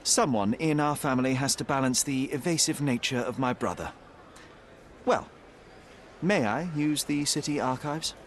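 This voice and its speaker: An actor playing a young male detective in disguise, polite and quick-witted in a video game. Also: medium pitch, a little hiss or hum, natural voice. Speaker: lead character.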